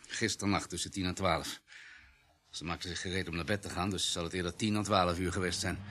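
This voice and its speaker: An actor playing a matter-of-fact police doctor in a radio drama, terse and clinical, with a husky voice. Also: male voice, low-pitched, fairly even intonation, fairly quick, pushed and bright.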